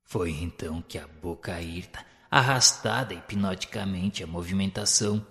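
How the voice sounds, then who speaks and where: A man giving a solemn, measured narration of a spiritual novel in a radio drama. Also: low-pitched, gravelly, fairly bright tone, in a large hall. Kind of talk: scripted literary narration.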